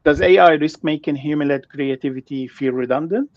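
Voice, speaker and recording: A man's voice: a little high, a little fast. A man hosting an online panel, introducing his guests and asking them questions in an enthusiastic, encouraging way. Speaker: host. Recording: dry, dull call audio.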